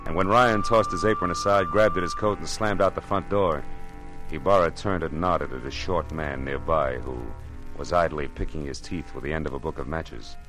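An American actor playing a tough, wisecracking private detective in a 1940s radio noir, slangy and sardonic. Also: male voice, a bass voice, moderate pace, fairly bright tone, soft background music. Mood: grim narrating.

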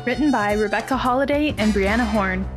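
A woman's voice: mid-range voice, brisk. A woman reading scripted opening credits as the announcer of an audio drama. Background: loud swing music.

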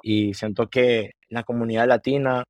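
A man's voice: mid-range voice, level tone, a little mellow, no room sound. A man in his twenties with a Honduran accent, a musician talking earnestly in a casual interview, with many restarts. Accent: Honduran Spanish. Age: 20s. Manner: earnest, halting, with many restarts and repeated words. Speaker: musician guest. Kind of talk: casual interview chat, mixing Spanish and English.

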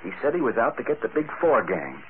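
A shaken man voiced by an actor in an old radio drama. His middle-pitched voice moves expressively as he haltingly explains himself. The sound is narrow with faint noise. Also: big pitch swings, lightly acted. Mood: explaining. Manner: hesitant, explaining. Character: shaken, rambling male kidnap victim. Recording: thin old radio recording, slight noise.

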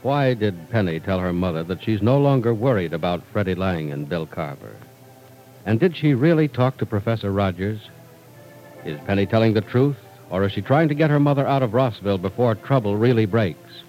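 A man's voice: fairly low pitch, expressive, moderate pace, a little mellow, soft background music, rough recording. A man announcing in polished, emphatic 1940s radio style, reading scripted narration and sponsor copy.

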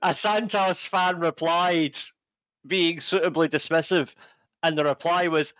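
A man's voice: on the high side, fairly even intonation, a bit bright, dry recording. Man, dry dull mic. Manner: measured, filler-heavy with frequent ums. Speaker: podcast co-host. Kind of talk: casual football chat.

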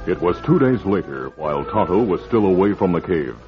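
A man's voice: low-pitched, big pitch swings, brisk. A man giving dramatic scripted narration for a 1950s radio western. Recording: dull old radio recording. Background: music.

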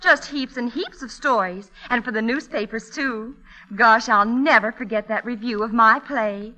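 A woman's voice: a little high, normal speed, sharp tone, low-quality recording. An actress playing a sweet, naive young woman, girlish and enthusiastic, in a 1940s radio comedy. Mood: gushing. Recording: vintage radio broadcast recording.

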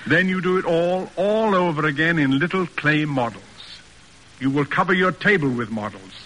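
Forceful, stern old-radio father. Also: fairly high pitch, lively intonation, normal speed, long reverb tail, poor audio. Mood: commanding, emphatic. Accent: American. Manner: formal, emphatic, declamatory. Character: stern, pompous, exacting middle-aged father, proud and demanding. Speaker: actor narrating and playing the father. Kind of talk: classic American radio drama acting. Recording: old radio broadcast recording.

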